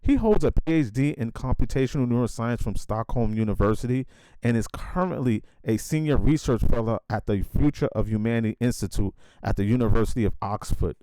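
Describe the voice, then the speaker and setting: A man's voice: medium pitch, brisk, dark tone, clean tone, dry recording, low-quality recording. A man hosting a podcast, giving a hyped introduction and then asking questions with many fillers and restarts. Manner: emphatic hype intro. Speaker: podcast host. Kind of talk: casual interview chat.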